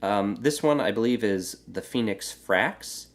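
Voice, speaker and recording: A man talks through a building tutorial in a relaxed, explanatory way. His voice is stuffy and congested from a cold, sitting a bit low, with plenty of filler words along the way. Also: measured pace. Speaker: Lego builder and channel host. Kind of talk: casual how-to building tutorial.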